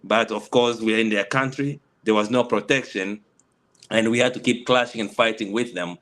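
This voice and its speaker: A middle-aged man from the Congo whose first language is Swahili speaks in a low voice. He talks haltingly, often restarting his sentences. He is heard over a video call. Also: steady tone, fairly bright tone. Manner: halting, many restarts and fillers. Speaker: panelist. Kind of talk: personal story on a panel.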